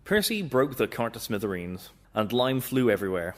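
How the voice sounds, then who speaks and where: A man narrating a children's railway story in a steady, expressive read-aloud style. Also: medium pitch, moderate pace.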